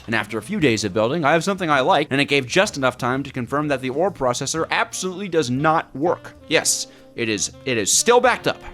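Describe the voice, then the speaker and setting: Animated, theatrical man narrating over soft music. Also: medium pitch, fast talker, few pauses. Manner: theatrical, mock-grandiose. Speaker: gaming video narrator. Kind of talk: scripted story-driven game narration.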